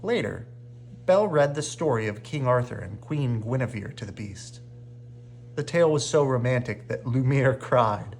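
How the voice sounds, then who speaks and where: A man reading a children's bedtime story aloud with expressive narration. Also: medium pitch, moderate pace, gravelly, slight background noise. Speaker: storybook reader.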